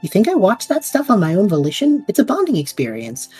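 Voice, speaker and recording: A woman's voice: medium pitch, wildly swooping pitch, fast talker, darkish tone, breathy edge, dry recording, soft background music, heavily acted. A woman voicing a restless young male time traveler who whines and rambles dramatically, in an audio drama. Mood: rambling.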